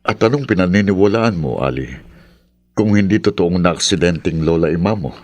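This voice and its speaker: A suspicious man voiced by an actor in a radio horror drama. His voice is deep and low, with a probing way of speaking. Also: normal speed, a little mellow, no echo, lightly acted.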